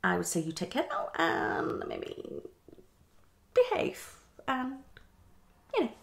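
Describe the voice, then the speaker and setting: Young woman as patronising psychologist, ASMR roleplay, swooping pitch, creaky half-whispered delivery, tactless and uneasy. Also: huge pitch swings, noisy background, full character voice. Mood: brisk, tactless. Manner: fast switching, hesitant repetitions, condescending. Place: small living room, indoors.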